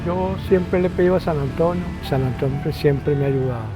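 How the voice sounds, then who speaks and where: A man's voice: a little high, a little fast, over music. An older man with a Venezuelan accent from Lara, a local cook casually telling stories in an interview. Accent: Venezuelan, Lara state.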